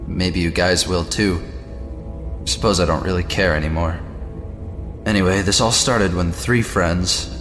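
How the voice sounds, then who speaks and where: A man's voice: deep voice, a bit bright. A man playing a weary, cynical narrator who confides a boyhood horror story in a resigned, conversational audio drama read. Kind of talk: scripted first-person audio horror narration. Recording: long reverb, music behind.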